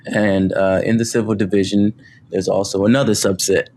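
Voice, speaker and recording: A man speaking as a guest on a podcast, talking humbly about his work with many pauses and fillers. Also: fairly low pitch, little pitch movement, darkish tone, slight background noise. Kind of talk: casual interview.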